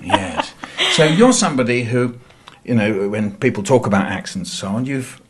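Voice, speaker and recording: A man's voice: mid-range voice, expressive, normal speed, husky, no echo. A man hosting a radio discussion, asking probing, provocative questions. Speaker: radio host. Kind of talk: radio interview.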